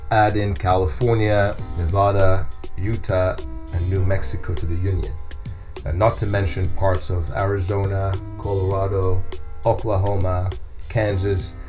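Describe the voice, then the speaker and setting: A man's voice: sits low, natural voice. A middle-aged man playing a modest, earnest journalist being interviewed on a scripted podcast. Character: modest, earnest male wire-service journalist. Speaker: guest journalist. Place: small furnished podcast studio. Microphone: studio microphone on a boom arm.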